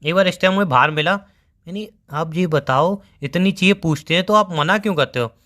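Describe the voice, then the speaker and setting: Here is a man acting as a young boyfriend in a casual couple's call drama. He talks very fast in a fairly high male voice. His manner is teasing and evasive, full of banter and explanation. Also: bright, forceful tone. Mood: explaining, storytelling. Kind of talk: casual scripted couple phone call in Hindi.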